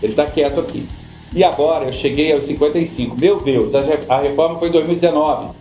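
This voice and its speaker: A middle-aged Brazilian man, a guest on a podcast, who explains pension rules patiently and often repeats his points to calm the listener. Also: fairly high pitch, fast, echoey room, soft background music. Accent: Brazilian Portuguese. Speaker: social security law guest.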